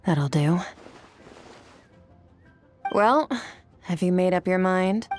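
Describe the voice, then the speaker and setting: A woman's voice: on the low side, extremely slow, a little mellow, faint music behind. A woman playing an aloof, enigmatic warrior in a video game, speaking in a detached, terse and solemn way. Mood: brisk, aloof.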